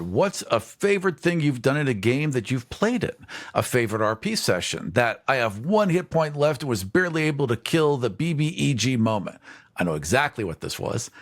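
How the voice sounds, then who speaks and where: A man co-hosting a podcast, reading listener questions and telling a lively game story with laughs. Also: mid-range voice. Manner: animated storyteller, laughs easily. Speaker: podcast co-host.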